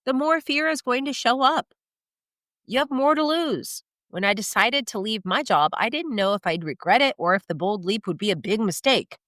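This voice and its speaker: A woman's voice: on the high side, fairly bright tone, no room sound. Woman host, stuffy and nasal from a cold, upbeat coaching delivery, dry close mic. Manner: motivational, emphatic with rhetorical questions. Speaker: podcast host and career coach. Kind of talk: scripted solo podcast monologue.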